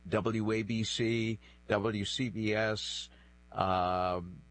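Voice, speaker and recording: A man's voice: lowish voice, steady tone, very slow, a bit bright. A man in his sixties from the northeastern United States, a native French speaker, telling personal stories reflectively as an interview guest. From New Bedford, northeastern United States. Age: 60s. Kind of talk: conversational interview, personal storytelling.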